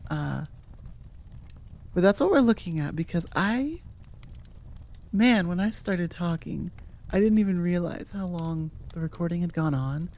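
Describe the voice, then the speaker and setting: A woman's voice: lowish voice, expressive, unhurried, pauses often, a little mellow. A woman chatting casually to her listeners as an audio creator, in an informal, rambling and self-amused way. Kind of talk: casual outro chat to listeners.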